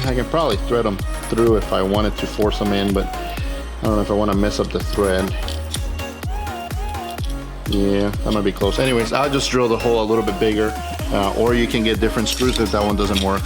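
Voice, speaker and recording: Male DIY host, lowish voice, informal hands-on narration, thinking aloud while working, music behind him. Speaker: host of a car modification tutorial.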